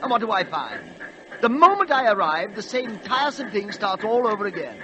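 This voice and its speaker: Haughty high-voiced British express train. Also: male voice, big pitch swings, fairly quick. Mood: exasperated. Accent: upper-class English. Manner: pompous, fussy, drawling complaints. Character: snobbish British royal express train, haughty, peevish, bored. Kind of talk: 1940s radio play acting. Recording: noisy old 1940s radio broadcast.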